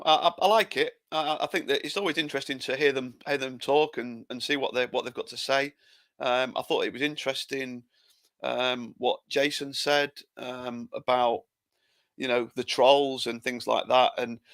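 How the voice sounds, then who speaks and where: A man's voice: medium pitch, fairly even intonation, moderate pace, fairly bright tone, no echo. An English man co-hosting a football podcast in casual chat, often restarting his sentences. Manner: hesitant, lots of repeated starts. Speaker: podcast co-host.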